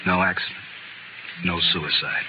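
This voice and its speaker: An actor playing a wry, vengeful gambler and gunfighter in a radio Western, laconic, sardonic and coolly menacing. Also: male voice, sits low, steady tone, moderate pace, lightly acted. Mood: grimly resolved. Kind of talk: old-time radio Western acting. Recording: muffled, very noisy vintage radio recording.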